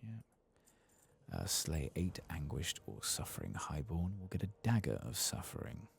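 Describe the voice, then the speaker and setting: A man softly commenting on his gameplay in half-whispered, mumbling asides, his voice lower than usual after singing, close to the microphone. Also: very animated, a little slow, a little hiss or hum. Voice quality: very deep, gravelly and husky. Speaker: ASMR gaming channel host. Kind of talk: soft-spoken gameplay commentary.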